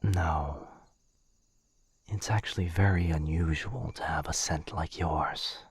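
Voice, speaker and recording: A man playing a gentle, protective, courtly vampire lord in an ASMR roleplay, speaking softly in a husky near-whisper with a hesitant, formal, earnest manner. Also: extremely low pitch, slow pace, full character voice. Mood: earnest, careful. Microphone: close studio mic, dry.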